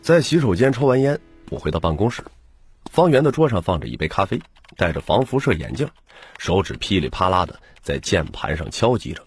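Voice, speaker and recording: A man with a husky voice narrating a novel in dramatic first-person storytelling as an audiobook reader. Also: deep voice, very animated, brisk. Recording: music bed under the narration. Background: background music.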